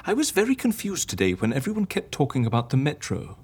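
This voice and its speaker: An actress playing a hesitant, easily flustered woman in an audio drama, speaking haltingly. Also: rumbling low, wildly swooping pitch, a little mellow, slightly rough, heavily acted. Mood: tentative, confused. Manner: halting, stammering.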